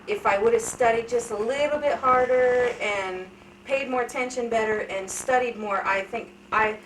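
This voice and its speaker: A woman's voice: medium pitch, distant mic. Chatty woman in her thirties, off-mic. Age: 30s. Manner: chatty, candid storytelling with self-deprecating humor. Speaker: interviewee. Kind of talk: casual family interview. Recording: echoey room, voice from off-mic, light hiss.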